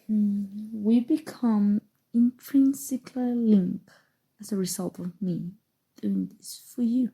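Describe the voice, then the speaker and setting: A woman playing a calm, seductive, obsessive evil sorcerer in an intimate, coaxing ASMR roleplay, with dramatic pauses. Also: mid-range voice, vocal fry, breathy edge, full character voice, poor audio. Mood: grave, measured. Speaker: voice actor. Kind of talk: ASMR roleplay, scripted acting.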